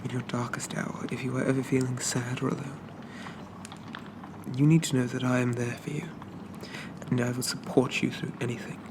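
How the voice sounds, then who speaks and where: A man playing a shy, polite, lovestruck Victorian zombie gentleman, speaking softly and haltingly with flowery apologies in an ASMR roleplay. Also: medium pitch, level tone, noisy background, close to an everyday voice. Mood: tender, earnest. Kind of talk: ASMR roleplay, soft-spoken character monologue.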